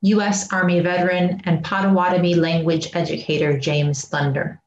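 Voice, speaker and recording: A woman's voice: on the low side, level tone, normal speed. A middle-aged woman reading aloud solemnly at home, as for a church service. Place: indoor home room. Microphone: webcam at desk distance. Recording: muffled, echoey room recording.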